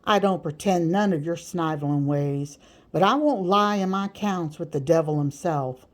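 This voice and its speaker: A woman's voice: fairly low pitch, moderate pace, lightly acted. A woman voicing a big, blunt, threatening male slave catcher with rustic grammar in an audiobook. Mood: defiant. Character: big, gruff, bullying male slave catcher, slow-thinking. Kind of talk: audiobook character voice.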